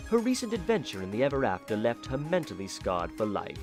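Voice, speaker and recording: A man's voice: mid-range voice, wildly swooping pitch, a little fast, music in the background. A man narrating a fan audio drama in a theatrical, playful style with wry asides.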